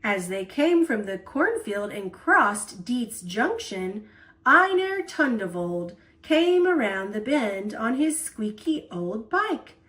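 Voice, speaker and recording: A woman tells a children's story aloud with playful emphasis. Her voice is fairly high and very animated. She reads slowly and expressively, giving words room.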